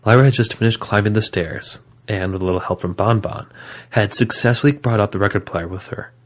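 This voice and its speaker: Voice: low voice, brisk. A narrator reading scripted third-person story narration for an audio drama.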